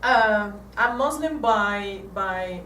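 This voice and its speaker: A woman's voice: medium pitch, animated, sharp tone, far from the mic, a little hiss or hum. Egyptian woman in an echoey hall. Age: 40s. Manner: halting, many restarts. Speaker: guest speaker. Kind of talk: casual talk with slides. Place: church hall.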